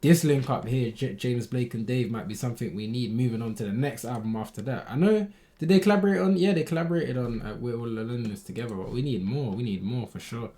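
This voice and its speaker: A young man from Barbados hosts a music reaction in a mellow, relaxed tone at a middle pitch. His commentary is casual and full of slang, with open enthusiasm for what he hears. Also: fast talker. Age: 20s. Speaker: music reaction video host.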